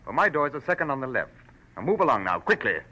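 An actor playing a wary, genteel villain with an Oxford English accent and a flaccid voice, 1940s radio style. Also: male voice, mid-range voice, sing-song, fast talker, pushed and bright, poor audio. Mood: wary, menacing. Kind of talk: 1940s radio noir acting.

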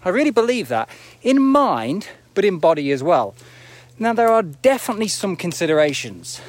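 A man's voice: sits high, big pitch swings, normal speed. A man in his forties giving a casual, reflective motivational talk as a running coach on a live video, often checking in with rhetorical questions. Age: 40s. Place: outdoors, walking uphill near an airport.

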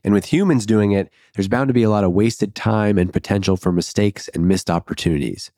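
Low-voiced man hosting a podcast. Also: a little fast, dark tone, dry recording, studio quality. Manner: loose, chatty, jokey asides. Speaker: podcast host. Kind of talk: casual podcast chat and ad read.

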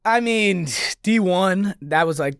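A man talks loosely on a podcast, his speech full of filler words. His voice sits a little high for a man. The mic is close and dry, with no room sound. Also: slow, creaky, clean tone, no room sound. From New York. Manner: loose and rambling, full of likes and dudes. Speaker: guest, social media creator. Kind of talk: casual podcast chat.

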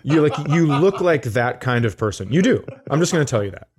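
A man's voice: medium pitch, sing-song, a little fast, a little mellow, breathy edge. A man co-hosting a podcast in casual comedic banter, teasing, rambling off on tangents and swearing. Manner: profane, teasing, digressive. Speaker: podcast co-host.

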